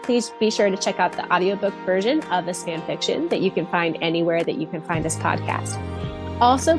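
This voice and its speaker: A woman co-hosting a fan podcast, chatting casually and reading aloud. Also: fairly low pitch. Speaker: podcast co-host.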